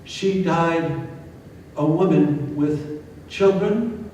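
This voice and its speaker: A grave, hushed ghostly spirit murmurs low and quiet, as a man puts it on for a story. The voice is far from the mic in a long, echoing hall. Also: very slow, slight background noise. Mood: solemn.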